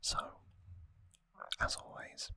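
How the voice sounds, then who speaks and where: An adult ASMR host whispering softly and hesitantly in an unhurried ramble, close to the microphone.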